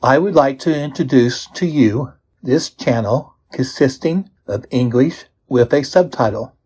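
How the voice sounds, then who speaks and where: A man's voice: mid-range voice, unhurried, darkish tone. An American-accented man from Kansas presenting a scripted introduction to a channel. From Kansas, United States.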